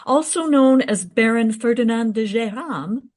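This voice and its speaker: A woman's voice: a little high, expressive, a little slow, darkish tone. A woman playing a helpful documentary team member in a radio play, speaking directly to explain things. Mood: matter-of-fact.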